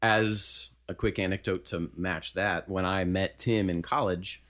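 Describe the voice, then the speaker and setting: A baritone man in his twenties, telling a measured anecdote in a casual band podcast. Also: fairly even intonation, a bit bright. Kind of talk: casual podcast chat, storytelling.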